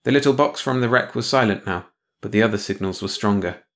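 A man with a slightly low voice narrates a story. He reads from a script as an audiobook narrator, in the style of a bedtime read-along. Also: fairly quick.

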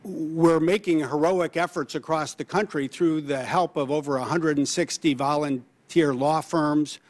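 A man's voice: a little high, fairly even intonation, a little slow. A man in his fifties testifying as a witness, answering earnestly and explaining at length, seated at a desk microphone in a large hearing room. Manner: earnest, explanatory, with fillers and restarts.

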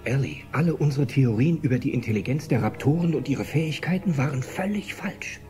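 Casting: actor as earnest male scientist, film drama, brisk and animated delivery. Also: mid-range voice, soft background music, natural voice. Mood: intent, excited. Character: earnest paleontologist, enthused about raptor intelligence. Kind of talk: dubbed film dialogue.

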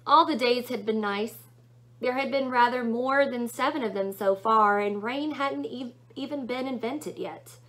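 A woman's voice: fairly high pitch, unhurried, a bit bright, rough recording. A young adult woman narrating a storybook as she reads it aloud in a small room at home. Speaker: book reader.

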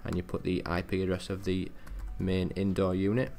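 Low, gravelly tech tutorial man. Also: completely flat delivery, moderate pace, a little mellow, soft background music. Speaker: technical support presenter. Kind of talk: casual step-by-step software tutorial narration.

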